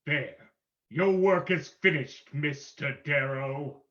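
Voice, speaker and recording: A man's voice: fairly high pitch, takes it slowly, a bit bright. A man playing a stern, commanding crime-fighting hero in a dramatic old-time radio drama reading. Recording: dull lo-fi sound.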